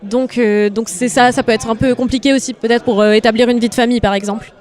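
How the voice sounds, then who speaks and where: A woman's voice: fairly high pitch, fairly even intonation, fast talker, a little mellow. Hesitant woman animator interviewed. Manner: hesitant, many fillers. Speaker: animator guest. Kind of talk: radio interview answers.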